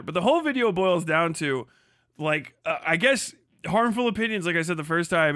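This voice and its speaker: High bright guy on a livestream, swearing and mocking, laughs a lot, dry close sound. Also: clear, resonant tone, no echo. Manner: profane, mocking, laughing often. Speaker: livestream host. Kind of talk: livestream commentary rant.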